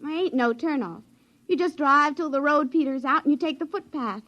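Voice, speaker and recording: A woman's voice: high-pitched, moderate pace, a bit bright. A woman playing a folksy, stubborn runaway backwoods girl with an Ozark hillbilly drawl in a 1950s radio drama. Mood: sly, amused. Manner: drawling rustic dialect. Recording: muffled, noisy 1950s radio recording.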